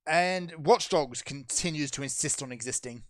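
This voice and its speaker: Man with a sardonic, brisk delivery. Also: fairly high pitch, no room sound, studio quality. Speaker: podcast host. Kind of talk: casual chat.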